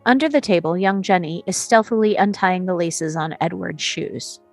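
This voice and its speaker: A woman's voice: on the low side, normal speed, gravelly, no echo, faint music behind. Woman narrating a script, dry sound. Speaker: narrator reading stage directions. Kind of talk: scripted narration in a table read.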